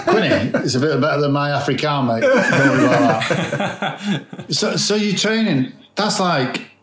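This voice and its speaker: Man, podcast host, casual interview, quick follow-ups, reverberant room. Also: fairly high pitch, big pitch swings, a crowd in the background. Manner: quick follow-up questions and short affirmations. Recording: roomy echo.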